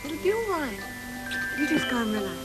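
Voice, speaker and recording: A woman playing a sweet, dutiful young daughter with gentle, reassuring pantomime delivery. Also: sits high, sing-song, brisk, music in the background, low-quality recording. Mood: gentle, soothing. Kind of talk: pantomime stage acting.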